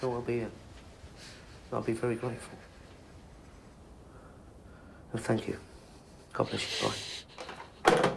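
A man's voice: sits high, animated, brisk, fairly bright tone, a little hiss or hum. An actor playing a volatile, menacing middle-aged father in a naturalistic film, aggrieved, repeating himself and swearing. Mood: pleading, strained. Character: volatile, menacing father of a troubled teenage son.

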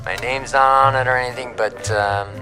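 A man's voice: medium pitch, steady tone, normal speed, over loud music, natural voice. A man acting a casual, self-satisfied character in a screen drama, speaking in a loose, rambling way. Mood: breezy.

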